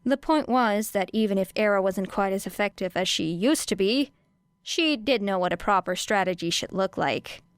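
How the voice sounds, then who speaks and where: Woman reading an audiobook story, smooth clear voice, dry close mic. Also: mid-range voice, moderate pace, no echo. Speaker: audiobook reader. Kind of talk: scripted fiction narration.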